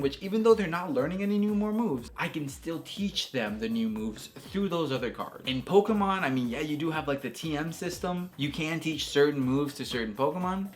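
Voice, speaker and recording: A man giving casual, enthusiastic video game commentary as a reviewer, emphatic and repeating phrases for stress. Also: a little high. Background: background music.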